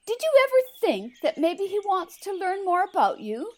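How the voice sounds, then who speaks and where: A woman's voice: very high voice, sing-song, normal speed, a little mellow. A woman playing a sweet, playful, meddling fairy godmother in an audio drama, with a teasing sing-song delivery. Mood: teasing, gentle.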